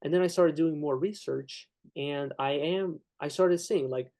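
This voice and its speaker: A man's voice: fairly high pitch, a little mellow. A young man, the interviewer, debating casually with frequent restarts and fillers. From Ukraine. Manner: halting, many restarts and fillers.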